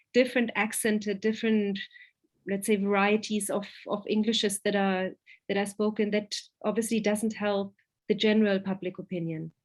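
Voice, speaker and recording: A woman speaking as a guest academic in a virtual forum. She hesitates often, restarting and repeating words as she thinks aloud. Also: mid-range voice. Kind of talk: spoken academic talk answering questions. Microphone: video call.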